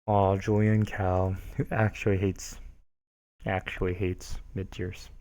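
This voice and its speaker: A man's voice: low voice, level tone, slow pace, a little mellow. Dry, amused man reading posts on stream. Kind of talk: casual livestream commentary with dramatic readings.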